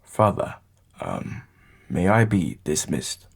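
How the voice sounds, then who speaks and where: A male actor plays an earnest young warrior son in an audio drama. His voice is fairly low, rough and husky, and moves expressively up and down as he speaks slowly and dutifully to his family. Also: sing-song, heavily acted. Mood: respectful.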